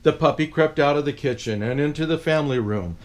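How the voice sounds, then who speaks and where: A man's voice: a little high, a little fast. A man in a Santa persona reading a children's picture book aloud, with playful asides to his young listeners.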